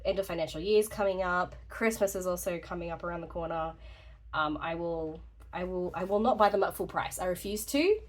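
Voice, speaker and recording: A woman's voice: lowish voice, fairly quick. Chatty woman, slightly congested. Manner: chatty and rambling, laughs often, self-deprecating. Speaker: journaling YouTuber. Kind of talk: rambling casual vlog update.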